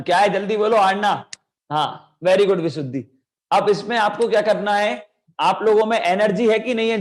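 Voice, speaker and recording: Young male teacher, high-pitched, sore throat, energetic and coaxing, on a video call. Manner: energetic, coaxing kids to shout. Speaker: children's class teacher. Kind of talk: online children's class.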